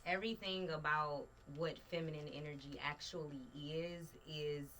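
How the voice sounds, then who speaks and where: A confident, emphatic woman leads a casual panel chat. Her voice sits low for a woman and rings bright and forceful, with a faint hiss behind it. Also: fairly even intonation, normal speed. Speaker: host.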